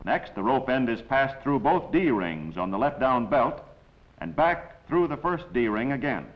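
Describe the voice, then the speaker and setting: A man's voice: medium pitch, lively intonation, unhurried. Man narrating a dull-sounding old training film. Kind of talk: scripted instructional film narration.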